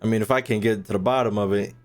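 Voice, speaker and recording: A man's voice: lowish voice, fairly even intonation, racing, faint music behind. A man doing casual, slangy, joking live commentary as he streams a game.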